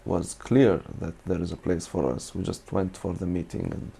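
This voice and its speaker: A man answering interview questions earnestly, speaking in a halting way with small restarts. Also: low voice, creaky, warm tone, husky. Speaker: screenwriter interviewed.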